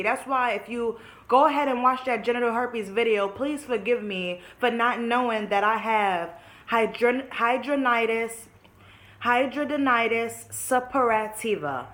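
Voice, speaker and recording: A woman's voice: a little high, sharp tone. A woman in her early twenties telling her story to viewers in a candid vlog, frank and casual, swearing freely and calling her audience y'all. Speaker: vlogger.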